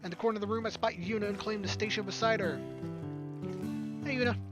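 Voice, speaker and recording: Young man, casual visual novel reading, fairly high voice, light game music behind. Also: fast. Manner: casual, joking asides while reading. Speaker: let's play streamer.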